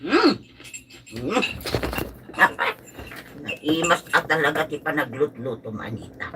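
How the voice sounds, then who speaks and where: A woman's voice: deep voice, very animated, slow pace, heavily acted. A woman playing an effusive, food-loving middle-aged auntie in a radio drama, with an Ilocano accent. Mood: savoring, flattering. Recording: noisy amateur live recording with clicks.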